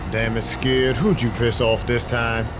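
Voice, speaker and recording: An actor playing a world-weary but compassionate vampire detective, narrating an audio drama in a dry, conversational first person. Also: male voice, medium pitch, flat delivery, unhurried, over music, natural voice. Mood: concerned, hushed. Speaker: narrator and lead.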